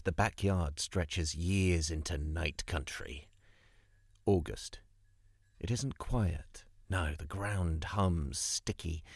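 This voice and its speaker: A man recites poetry in a low voice at a slow, deliberate pace. His phrasing is dramatic, broken into short lines. The sound is close and dry, with no room around it. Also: gravelly, darkish tone, husky, no echo. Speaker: guest poet reading a poem.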